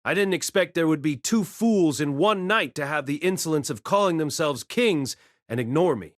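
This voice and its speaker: A man's voice: on the high side, animated, normal speed, dry recording, studio quality, lightly acted. An actor playing an arrogant, contemptuous king who scorns everyone around him, in an audio drama. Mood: scornful. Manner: scornful, imperious, shouting insults.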